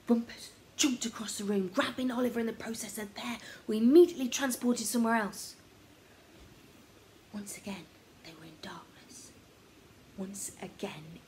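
A woman reading a children's story as narrator, expressive and dramatic, sometimes dropping to a hush. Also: medium pitch, slight background noise, poor audio. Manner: expressive, dramatic, hushed at times. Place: room at home, indoors.

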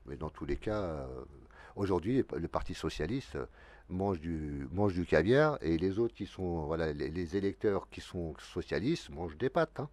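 A man's voice: deep voice, lively intonation, normal speed, darkish tone, dry recording. A man in his fifties, a local election candidate, talking through a televised studio debate in a rambling way with many restarts. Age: 50s. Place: dark TV studio, seated at a desk. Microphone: desk mic.

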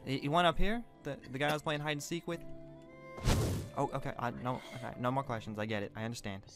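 Guy playing rambly nervous teen wizard, stammery, normal pitch, music going behind him. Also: fairly even intonation, fast talker, lightly acted. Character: young male fire wizard student, rash and nervous. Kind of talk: improvised Minecraft roleplay.

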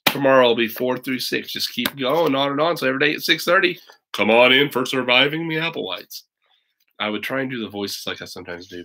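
A man's voice: mid-range voice, bright, forceful tone, rough recording. An American man in his forties, a teacher talking casually and reading a storybook aloud to children at home. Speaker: teacher and children's book read-aloud host. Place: small room at home, indoors. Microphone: webcam or laptop mic at arm's length.

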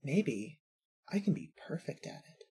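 A narrator reading scripted fiction in a husky voice. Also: medium pitch, big pitch swings, unhurried, mellow.

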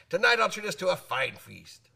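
A hearty old seafarer in a radio play. His voice is creaky and forceful, with wildly animated pitch. He comes across boisterous and salty. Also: a little slow, exaggerated voice. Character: hearty old sailor with a menacing air. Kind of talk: radio drama acting.

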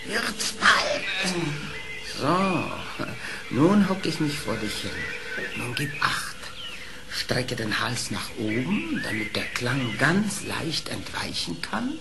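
An actor playing a cunning fox in a fairy-tale audio play, coaxing and theatrical in manner. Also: male voice, medium pitch, sing-song, slow, vocal fry, heavy background noise, exaggerated voice. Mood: bossy. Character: sly, wheedling trickster fox. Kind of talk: German fairy-tale radio play acting.

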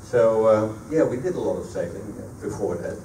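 Casting: middle-aged male guest speaker, native Dutch with Dutch accent, halting delivery, low pitch, distant noisy pickup. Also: animated, warm tone. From Netherlands. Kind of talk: answering audience questions at a public talk. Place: meeting room at a sailing club. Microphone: handheld PA microphone, recorded by a camera across the room. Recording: echoey room, distant camera microphone, noisy.

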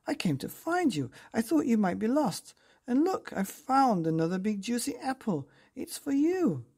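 Woman doing a gentle storybook friend. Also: on the high side, very animated, normal speed, breathy edge, pitched above the performer's own voice, heavily acted. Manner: gentle and soothing. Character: kind patient young female friend.

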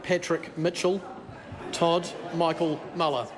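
A man's voice: on the high side, fairly even intonation. A man with a New Zealand accent, a parliamentary clerk formally reading out names in a large wood-panelled chamber. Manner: measured, formal. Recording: reverberant chamber, faint hum.

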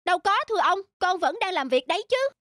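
A woman's voice: extremely high pitch, sing-song, quick pace, bright, forceful tone, no room sound, studio quality, full character voice. A woman voicing a cheeky, discontented young male farmhand in a folk-tale cartoon, with music behind. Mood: sly, mock-polite.

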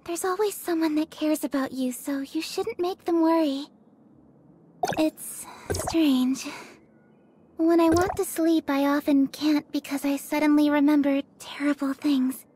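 An actress voicing a gentle, earnest young nurse in a video game, with soft, polite and melancholy delivery. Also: female voice, high voice, steady tone, measured pace. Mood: gentle, wistful. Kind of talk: video game character voice lines.

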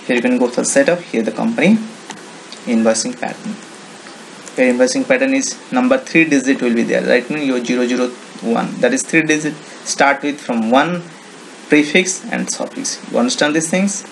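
A man with an Indian accent demonstrating software in an informal screen-recorded tutorial, with non-native English phrasing and frequent repetition. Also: mid-range voice, normal speed, gravelly. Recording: noisy home-made screen recording.

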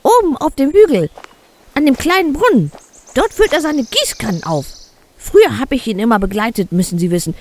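A woman's voice: high-pitched, very animated, fairly quick, a little hiss or hum. A woman playing a chatty, nostalgic elderly wife in an audio drama, rambling as she reminisces. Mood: wistful, gently chiding. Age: older. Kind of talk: German audio drama acting.

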